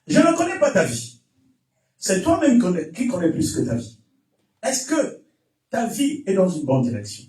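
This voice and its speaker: In a reverberant church sound system, set back from the mic with music behind, a man preaches with a Congolese French accent. His voice rides very high and swings widely as he drives his exhortations home with emphatic repetition, often shouting. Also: wildly swooping pitch, moderate pace, pauses often, distant mic. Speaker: pastor preaching. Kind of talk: fervent Pentecostal-style sermon with testimony and call-and-response.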